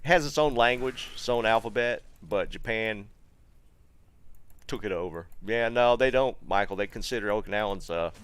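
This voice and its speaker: Low-voiced Southern streamer man. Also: moderate pace, smooth, dry recording. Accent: Southern American. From North Carolina, USA. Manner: relaxed, rambling, many fillers and restarts. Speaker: game streamer. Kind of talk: casual live-stream chat reading viewer comments.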